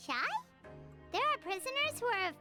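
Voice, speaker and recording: Tiny squeaky childlike fairy companion. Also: female voice, animated, normal speed, over music. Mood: curious. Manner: exclamatory, refers to herself by name. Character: tiny chirpy childlike flying companion, female, talkative and frank. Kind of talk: animated game character dialogue.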